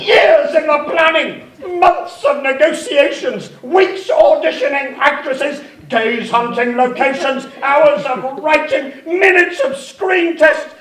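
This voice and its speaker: A man's voice: very high voice, fairly bright tone, full character voice. A man playing a pompous, blustering, tactless film director in a comic radio-theatre parody, declaiming theatrically. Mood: defiant bluster. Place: arts centre stage, live performance. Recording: roomy live stage, distant mic.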